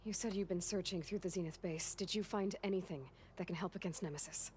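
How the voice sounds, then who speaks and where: A woman's voice: fairly low pitch, fairly even intonation, quick pace, a little mellow, a little hiss or hum, natural voice. Wry, determined young warrior woman played by actress. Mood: focused. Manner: casual, dry, direct. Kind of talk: video game cutscene dialogue.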